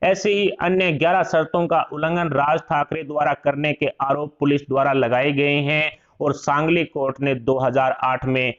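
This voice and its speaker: A man's voice: fairly high pitch, little pitch movement, moderate pace, hardly any pauses, dull audio. A man reads scripted political news as a voice-over narrator.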